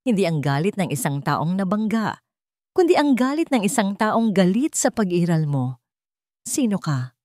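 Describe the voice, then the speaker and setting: A woman's voice: mid-range voice, sing-song, moderate pace, a little mellow, no room sound, studio quality. A woman reading scripted, dramatic narration as the storyteller of a romance story.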